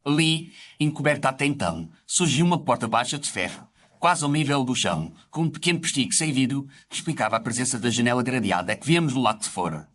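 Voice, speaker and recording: A man's voice: mid-range voice, lively intonation, quick pace, fairly bright tone. A man narrates a scripted audio story. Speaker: narrator telling the story as a doctor character.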